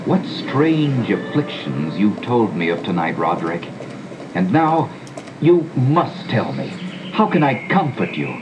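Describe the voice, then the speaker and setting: A man playing a concerned, earnest gentleman in a gothic audio drama, theatrical in delivery, recorded on an old cassette. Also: lowish voice, sing-song, measured pace, background noise. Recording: old cassette tape dub with music. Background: music.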